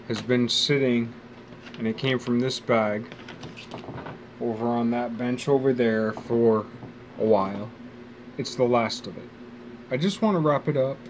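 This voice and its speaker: A man in his forties thinks aloud in a loose, wry monologue, swearing casually as he goes. His voice sits at an ordinary middle pitch. A faint hum of air conditioning runs behind him. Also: a little mellow, low-quality recording. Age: 40s. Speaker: vlogger. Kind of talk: rambling personal monologue. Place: outdoors near a bench.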